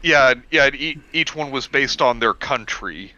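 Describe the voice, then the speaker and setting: A man chatting casually as a co-host with excitable, sweary fan talk. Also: mid-range voice, moderate pace, no room sound. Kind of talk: casual group chat. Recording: slightly dull, lo-fi mic.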